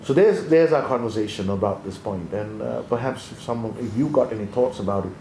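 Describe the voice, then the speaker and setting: A man from Sri Lanka talks in a measured, thoughtful way, stopping and restarting his sentences as he goes. His voice sits in a middle range. The room is echoey and there is a faint hum of ambience behind him. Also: mid-range voice, mellow. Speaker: co-host, former accountant. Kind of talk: conversational leadership discussion.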